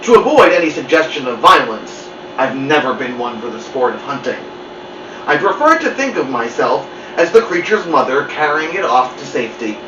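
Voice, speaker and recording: Animated man reading a story, muffled and roomy. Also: fairly high pitch, background noise. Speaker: story-time reader. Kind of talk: animated children's book narration. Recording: muffled, roomy, distant mic.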